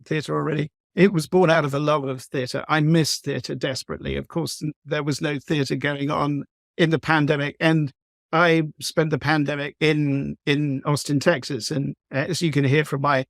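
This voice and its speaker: A man from the east of England with an English accent, a novelist talking thoughtfully in an interview, pausing with frequent ums and restarts. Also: on the high side, little pitch movement, creaky, no echo, clean studio sound. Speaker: guest novelist. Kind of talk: conversational interview.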